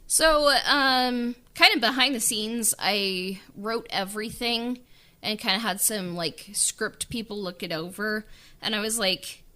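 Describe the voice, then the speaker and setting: A woman's voice: mid-range voice, measured pace, bright, forceful tone. A woman who wrote and produced an audio drama, talking casually and rambling with many filler words. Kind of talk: casual interview chat.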